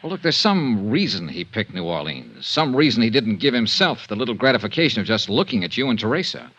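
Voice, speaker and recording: A dull, band-limited 1950s radio recording carries a low-pitched American man as a hard-boiled investigator. He is blunt and world-weary, speaking in the clipped style of detective serials. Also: sing-song, brisk, a bit bright, full character voice. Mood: pressing, frank. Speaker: lead actor and narrator of a radio detective serial.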